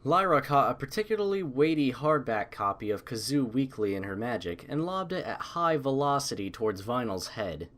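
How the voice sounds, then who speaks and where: A man's voice: mid-range voice, lively intonation, moderate pace, hardly any pauses, fairly bright tone. A man reading scripted story narration.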